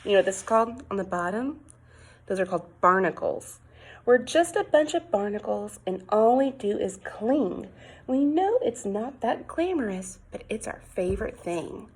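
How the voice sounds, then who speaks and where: A woman with a slightly husky, mid-pitched voice reads a picture book to young children. She sounds like a teacher, expressive and sing-song, coaxing her listeners with questions and exclaiming over the pictures. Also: moderate pace.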